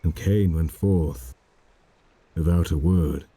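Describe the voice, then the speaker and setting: A man narrating a dramatic poem in a solemn, measured manner. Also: sits low, dark tone, husky, dry recording. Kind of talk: dramatic poetry recitation.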